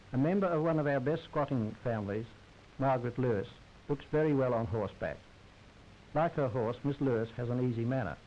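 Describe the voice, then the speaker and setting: A man's voice: medium pitch, darkish tone. A man narrating a 1930s society newsreel in a formal, wry and droll scripted manner. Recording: hissy, muffled 1930s film soundtrack.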